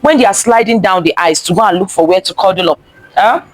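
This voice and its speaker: A woman's voice: medium pitch, fast talker, dry recording, low-quality recording. A woman reading a picture book aloud to children, expressively, repeating phrases and stopping to ask them questions. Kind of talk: interactive children's story time read-aloud.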